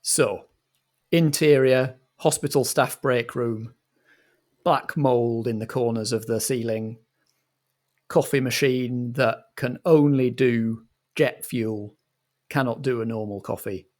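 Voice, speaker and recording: A man's voice: mid-range voice, fairly even intonation, takes it slowly, darkish tone. A man acting as game master and director, setting scenes and giving instructions in a theatrical, organised manner.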